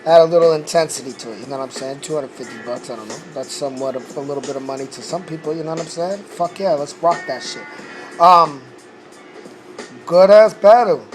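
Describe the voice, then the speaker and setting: A man's voice: on the high side, brisk, soft background music, rough recording. A man who says he is losing his voice, recapping a rap battle in a casual, profane way and often saying "you know what I'm saying".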